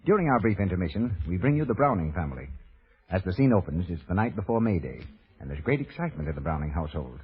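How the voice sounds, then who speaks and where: A lowish, very husky man works as a radio announcer, reading scripted narration with lively swings. The old broadcast sounds muffled and scratchy. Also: fast talker.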